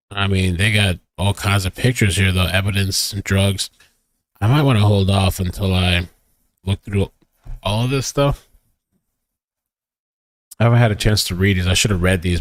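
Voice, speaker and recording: A man's voice: low-pitched, no echo. A man hosting a live stream, commenting casually on news and reading articles aloud in a rambling, informal way. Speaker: streamer host reacting to news.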